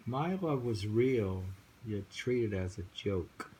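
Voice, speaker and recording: A man's voice: fairly low pitch, expressive, slow, warm tone, a little hiss or hum, rough recording. A man reading poems aloud and musing on them in casual chat, with frequent thoughtful hums. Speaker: poetry reader and host.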